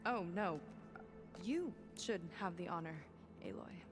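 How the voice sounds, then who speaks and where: A woman's voice: on the low side, lively intonation, measured pace, over music. A woman playing a guarded, skeptical young marine in a video game, wry and clipped. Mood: teasing. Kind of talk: video game cutscene acting.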